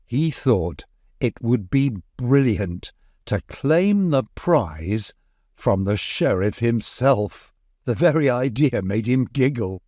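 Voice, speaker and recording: A man narrating a scripted bedtime story for children in an expressive, unhurried storytelling manner. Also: medium pitch, no room sound, clean studio sound.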